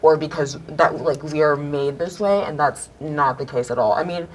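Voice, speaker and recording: A young woman with a very low voice makes her point in a panel discussion. She talks earnestly and fills her sentences with "like", and she gets higher when she is excited. Faint noise sits behind her. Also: normal speed, rough recording. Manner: earnest, many fillers, rises when excited.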